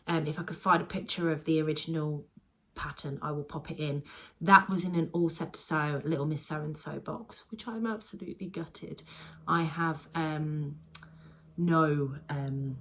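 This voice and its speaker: A woman's voice: deep voice, fairly bright tone, slight background noise, poor audio. Chatty congested woman sewing vlogger. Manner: chatty, rambling, with frequent "um"s. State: congested, a bit bunged up. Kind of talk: casual chat to camera.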